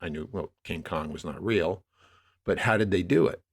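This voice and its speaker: A man's voice: low-pitched, moderate pace, slightly rough. An American man in his sixties, speaking reflectively and discursively as a guest in a conversational interview. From New York area, United States. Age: 60s. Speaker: interview guest, media producer.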